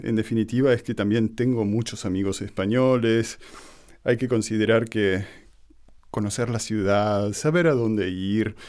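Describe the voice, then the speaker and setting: Low-voiced Argentine man in conversation. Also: darkish tone. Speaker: interview guest. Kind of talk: conversational radio interview monologue.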